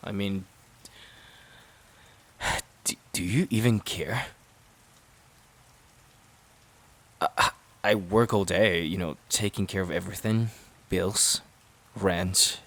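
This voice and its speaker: A man playing a resentful, overworked boyfriend in an emotional audio roleplay, halting and sighing as he repeats himself. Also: deep voice, creaky, a bit bright, exaggerated voice. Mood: exasperated, accusing. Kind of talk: emotional audio roleplay, intimate dramatic monologue.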